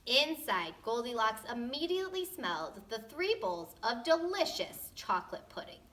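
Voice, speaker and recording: Animated woman reading a children's story. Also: fairly high pitch, moderate pace. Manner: expressive, playful, tongue-in-cheek. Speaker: teacher reading a storybook aloud.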